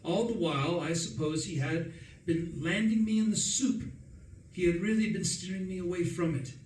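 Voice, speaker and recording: A man playing an excitable upper-class gentleman who narrates a radio play in a breezy, self-dramatizing way. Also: high voice, moderate pace, natural voice. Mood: animated storytelling. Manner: breezy, self-dramatizing, indignant when crossed. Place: live performance in a room, distant mic. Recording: roomy, distant, faint noise.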